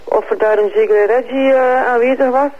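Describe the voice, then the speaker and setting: A man's voice: very high-pitched, fairly even intonation, moderate pace. A man with a Flemish accent, a caller asking polite, methodical questions over a thin telephone line. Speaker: phone-in caller questioning a suspect.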